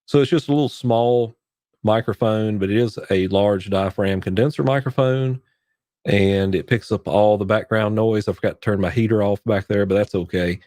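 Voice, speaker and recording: A man hosting a casual live church-tech Q&A from his home studio, sick with a cough, rambling as he clicks through his gear on a boom-arm condenser mic. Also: moderate pace, mellow, no echo. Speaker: church tech livestream host. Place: home studio, heater running behind.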